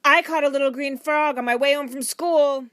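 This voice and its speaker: A woman's voice: high voice, sing-song, normal speed, a bit bright, no room sound, studio quality, pitched above the performer's own voice. A woman voicing an eager, chatty, innocent small boy in a children's audiobook, with guileless, slangy talk.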